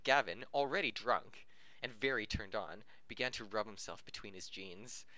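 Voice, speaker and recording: Bright-voiced man stumbling through a reading. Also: lowish voice, normal speed, a little hiss or hum. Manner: stumbles over the text, giggly. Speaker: podcast host reading fan fiction aloud. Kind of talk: amateur story reading, often breaking up.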